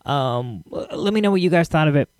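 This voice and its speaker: Halting, chuckling man hosting a casual podcast. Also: on the high side, expressive, no echo, clean studio sound. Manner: rambling, halting, chuckling delivery. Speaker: podcast host. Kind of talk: casual solo podcast commentary.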